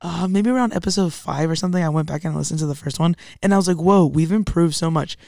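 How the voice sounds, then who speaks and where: Casting: young woman, podcast co-host, raspy recovering voice, slangy and animated, recorded close and dry. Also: fast, no room sound. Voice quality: somewhat deep voice for a woman. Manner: animated, slangy, self-deprecating. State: recovering from a lost voice. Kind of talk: casual podcast chat.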